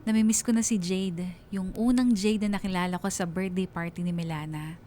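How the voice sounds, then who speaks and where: A woman's voice: lowish voice, fairly even intonation, brisk, mellow, faint music behind, lightly acted. An actress playing a heartbroken young woman, narrating her love story confidingly in a Tagalog radio drama. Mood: wistful, resigned.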